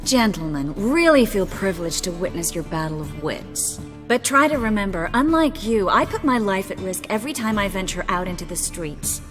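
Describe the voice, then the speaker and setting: A woman's voice: mid-range voice, animated, big-room sound, over music, lightly acted. Woman playing a wry, composed game sorceress. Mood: dry, impatient. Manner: dry, measured, articulate. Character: composed, world-weary, wry young female sorceress. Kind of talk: video game voice acting.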